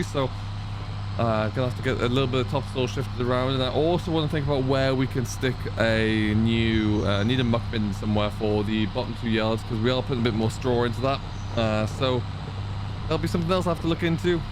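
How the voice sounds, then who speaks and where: Male let's-play host, average pitch, relaxed roleplaying farmer musing aloud over clearly audible machinery noise. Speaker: host of a farming simulator roleplay series. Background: tractor and machinery sounds from the game.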